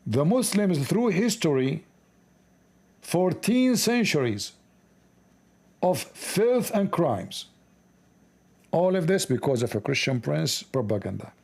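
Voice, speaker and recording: A man with a fairly high voice and an Arabic accent gives informal commentary on a text he is reading. His English reading is halting and stumbling. He is sarcastic and joking, mocking as he goes. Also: big pitch swings, gravelly, a little mellow, breathy edge.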